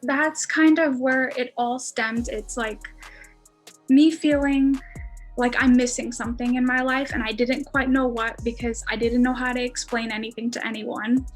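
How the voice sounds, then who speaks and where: A woman's voice: a little high, fairly even intonation, normal speed, soft background music. A woman in her twenties, a native Armenian speaker, casually telling her story as a podcast guest, with lots of "like"s and laughs. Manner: chatty, many "like"s, laughs often.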